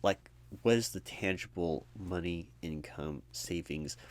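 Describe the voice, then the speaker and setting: A man doing a conversational ASMR roleplay as an apathetic, low-energy recruiter, rambling in a jaded, sardonic way. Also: a little slow. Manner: rambling, sardonic asides mimicking corporate talk.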